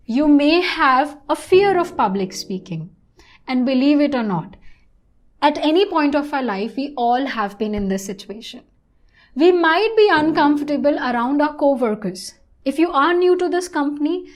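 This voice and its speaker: A woman presenting an online English lesson in a scripted, instructional style, with deliberate and emphatic phrasing. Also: high-pitched, animated.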